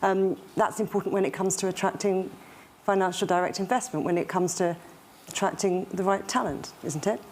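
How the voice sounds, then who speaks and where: A woman moderating a panel, steering the next question with hesitant pauses. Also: medium pitch, fairly even intonation, darkish tone, a little hiss or hum.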